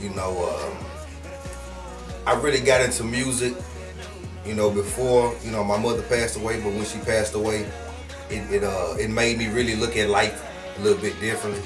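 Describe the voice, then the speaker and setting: A man's voice: lowish voice, normal speed, long pauses, roomy sound, recorded from a distance, music in the background. A man from Houston, a rapper being interviewed, talking loosely and casually with lots of fillers. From Houston, Texas. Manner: laid-back, slangy, with many fillers. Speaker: guest rapper.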